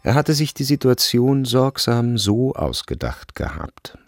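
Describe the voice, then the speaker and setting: A man narrating a literary radio drama from a script, measured and precise in his storytelling. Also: medium pitch, very animated, no echo.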